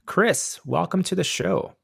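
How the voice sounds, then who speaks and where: A man's voice: on the high side, big pitch swings, a little slow, vocal fry, breathy edge. A man hosting a podcast, reading a sponsor message and then chatting upbeat with his guest. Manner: upbeat, reads sponsor copy then chats. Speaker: podcast host. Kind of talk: podcast interview and ad read.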